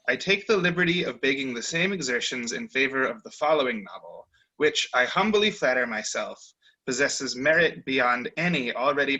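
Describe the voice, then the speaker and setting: A man's voice: medium pitch, sharp tone, rough recording. A man reading a formal, mock-humble period dedication as the author, in a dramatic reading over a video call.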